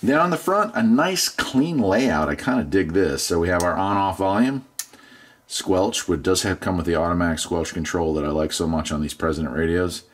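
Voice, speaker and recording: A man's voice: sits low, normal speed. A middle-aged man chatting casually through a product unboxing in a laid-back, folksy way. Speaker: product reviewer, channel host.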